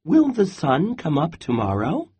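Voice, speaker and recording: A man voicing a small, eager male squirrel who asks questions in a high, squeaky voice. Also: wildly swooping pitch, a little slow, a little mellow, husky, heavily acted. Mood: curious.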